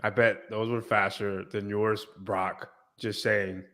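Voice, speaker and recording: Male podcast host, bright tone, stuffy nasal cold, joking coach energy. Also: on the low side, flat delivery, slow pace. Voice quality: slightly nasal. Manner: teasing, coach-like. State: congested from a cold. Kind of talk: casual chat.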